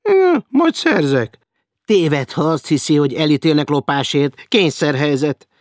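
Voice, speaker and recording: Man voicing a loud, indignant idler. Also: fairly high pitch, steady tone, dry recording, clean studio sound, pitched above the performer's own voice, lightly acted. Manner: loud and protesting. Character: indignant lazy man refusing work.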